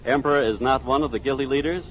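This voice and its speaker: Rapid-fire 1940s radio man on the street. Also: mid-range voice, slight background noise, low-quality recording. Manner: formal, oratorical phrasing. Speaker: radio reporter interviewing passers-by. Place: outdoors on a busy downtown street. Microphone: broadcast street microphone.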